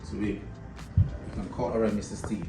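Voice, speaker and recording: Echoey, low-voiced male show host. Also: fairly even intonation, normal speed. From Africa. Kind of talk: casual chat while cooking and interviewing. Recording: echoey, distant mic, loud music behind.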